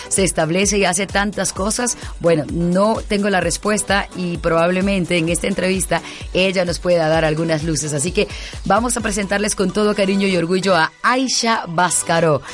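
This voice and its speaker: A woman's voice: on the low side, fairly even intonation, normal speed, soft background music. A woman hosting an interview podcast, greeting listeners and asking her guest effusive questions. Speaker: podcast host.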